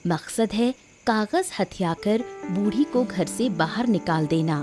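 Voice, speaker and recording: A woman narrates a scripted story in a medium-pitched voice. Her delivery is animated, with faint music behind it. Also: takes it slowly.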